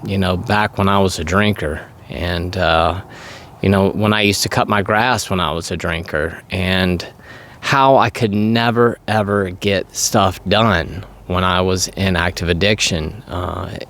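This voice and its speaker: Raspy low-voiced man chatting casually. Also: fairly bright tone. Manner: rambling, conversational. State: congested by allergy, voice going, clearing throat. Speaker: vlogger. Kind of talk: casual personal monologue.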